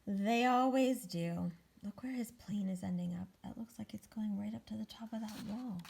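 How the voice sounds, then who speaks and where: A woman teacher commenting on a picture book for her class, asking the children questions in conversational, often hushed asides. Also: medium pitch, brisk, creaky, slightly rough.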